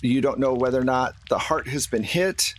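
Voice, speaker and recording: A man's voice: mid-range voice, moderate pace. A man acting as game master, casually narrating a tabletop roleplaying game.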